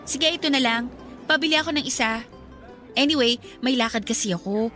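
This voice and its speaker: Woman acting a brisk, high-voiced lady customer. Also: a bit bright, faint music behind, lightly acted. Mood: brisk, casual. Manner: casual, switches into English. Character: brisk, well-off female shop customer. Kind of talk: radio drama acting.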